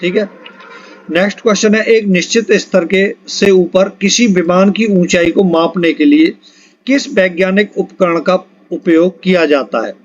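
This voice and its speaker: A man's voice: high voice, measured pace. A man teaching an exam quiz lesson, reading out questions, options and answers in an instructional way and prompting listeners to answer. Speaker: exam-prep physics teacher. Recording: dull lo-fi recording.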